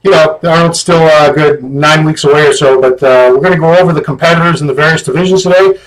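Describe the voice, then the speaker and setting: A man hosting a casual podcast, chatting in a relaxed, joking way. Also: on the high side, quick pace, rough recording. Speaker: host.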